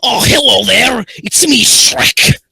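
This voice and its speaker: Comic ogre impression by a man: boisterous, loud, high, over-enthusiastic, close and dry. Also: slow pace, creaky, breathy edge, dry recording, full character voice. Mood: boisterous greeting. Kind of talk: comic impression while reading fan fiction aloud.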